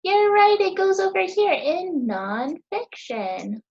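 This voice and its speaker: An American woman in her thirties teaching young children, asking questions in a sing-song, encouraging way with deliberate pauses. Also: extremely high pitch, sing-song, vocal fry, a little mellow, muffled recording. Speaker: teacher hosting a children's story time.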